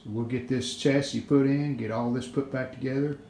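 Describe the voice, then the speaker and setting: A man's voice: mid-range voice, fairly even intonation, normal speed, dark tone, a little hiss or hum. A man talking casually through a hands-on restoration in a plain, matter-of-fact way, in short clipped sentences. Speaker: host of a vintage radio repair video. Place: indoors at home.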